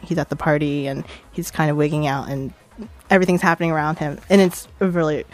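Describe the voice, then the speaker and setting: A woman's voice: low voice, fairly even intonation, fast, a little mellow. A woman co-hosting a radio music show, introducing tracks with dry, playful jabs at her partner. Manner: dry, playful, laughs often. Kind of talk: casual radio banter.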